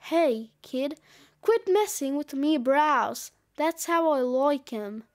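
Gruff wise-guy snowman for a children's audiobook, played by a woman: bossy, cheeky, unhurried. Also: high-pitched, expressive, no room sound, studio quality. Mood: gruff, annoyed. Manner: slangy, brash, dismissive.

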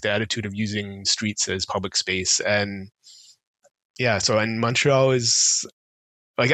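Guest: middle-aged man from israel, relaxed, thoughtful, headset mic, dry sound. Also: mid-range voice, dry recording. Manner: relaxed, thoughtful, many fillers and restarts. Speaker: guest, transportation consultant. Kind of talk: casual podcast chat. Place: home office with bookshelves. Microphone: headset mic on video call.

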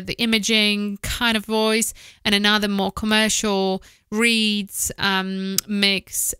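A woman host gives casual, practical advice in a bright, forward voice. Her sound is exceptionally clean and resonant. She pauses to think and repeats words for emphasis, close on the mic in a dry small office. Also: medium pitch, smooth, dry recording. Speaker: host, voice-over producer. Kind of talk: casual instructional podcast talk to camera. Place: small home office, indoors. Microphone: close studio microphone with foam windscreen, headphones.

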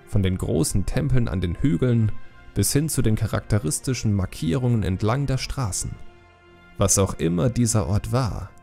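Low, gravelly male audiobook narrator. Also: mellow. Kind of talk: scripted fantasy narration.

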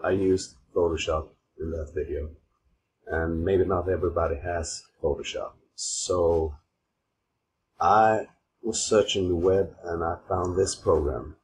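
The low-voiced Swedish guy in a homemade screen-capture tutorial, explaining each step in halting, non-native phrasing over background noise. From Sweden. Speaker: tutorial host. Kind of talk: informal software tutorial. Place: voice-over recorded at an old computer. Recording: steady clear background noise, mouse clicks.

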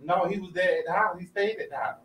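A man's voice: sits high, fast talker, a bit bright, recorded from a distance. A man from Florida co-hosting a talk show, chatting bluntly in casual slang with lots of swearing. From Jacksonville, Florida, USA. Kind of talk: casual profane chat. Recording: roomy, off-mic, noisy background.

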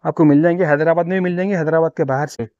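A man's voice: on the high side, steady tone, racing, darkish tone, no echo. A man with a Hyderabadi accent hosting a conversational promotional farm interview, repeating answers back and sprinkling in pious phrases. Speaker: video host interviewing a livestock farmer. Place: outdoors on a grassy farm yard. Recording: dull lo-fi sound.

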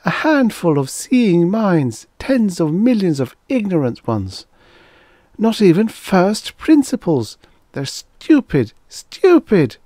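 A man's voice: fairly high pitch, sing-song, slow pace, few pauses, darkish tone, husky, dry recording, voice pushed up, exaggerated voice. A man voicing a flighty, dreamy female alien creature of air, sighing and plaintive, in a story narration. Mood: despairing.

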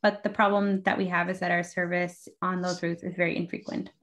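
A female candidate lays out her position in a confident, articulate way. Her voice is low and carries a gravelly fry. She speaks over a video call. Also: level tone, fairly quick, fairly bright tone. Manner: confident, articulate policy talk. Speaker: election candidate. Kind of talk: answering a debate question.

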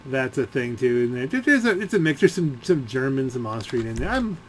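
A man giving casual, rambling gameplay commentary, halting as he thinks aloud. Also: medium pitch, very animated, fairly bright tone, slight background noise. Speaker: gaming video creator.

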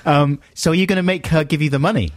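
A man's voice: a little high, dry recording. Teasing male radio co-host, dry studio sound. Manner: playful, teasing, quick laughs. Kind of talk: jokey studio banter, reading listener messages. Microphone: studio microphone.

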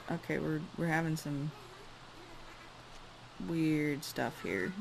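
A woman with a raspy voice giving casual, chatty commentary as she plays a life-simulation game. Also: sits low, noisy background. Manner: chatty, musing aloud while directing characters. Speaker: let's play host.

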